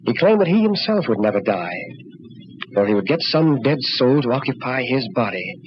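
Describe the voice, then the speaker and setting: An actor playing a grave, cautious middle-aged doctor, explaining solemnly in 1940s radio drama style. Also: male voice, medium pitch, big pitch swings, moderate pace, lightly acted. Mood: solemn, ominous. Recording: muffled, noisy old radio recording.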